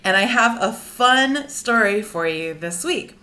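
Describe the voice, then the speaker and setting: A woman teacher leading a read-aloud lesson for children, animated and putting simple questions to her listeners. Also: a little high, a little slow. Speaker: teacher of a young class. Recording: roomy, echoing indoor sound.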